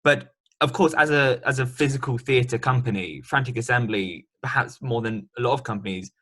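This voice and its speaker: A man's voice: medium pitch, normal speed, bright, forceful tone. A man interviewing a guest in a remote chat, talking chattily and loosely with many ums and likes.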